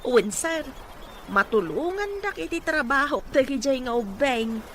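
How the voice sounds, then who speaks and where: A woman's voice: high voice, lively intonation, normal speed, slight background noise. A woman playing a devoted, respectful therapist to a rich boss in an Ilocano radio drama. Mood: encouraging.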